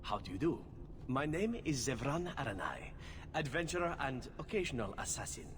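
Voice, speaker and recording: A man's voice: mid-range voice, very animated, normal speed, close to an everyday voice. A man playing a suave, flirtatious elf assassin in a video game, with a playful, theatrical delivery. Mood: charming, flattering. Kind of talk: video game character acting. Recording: noisy ambience, long reverb.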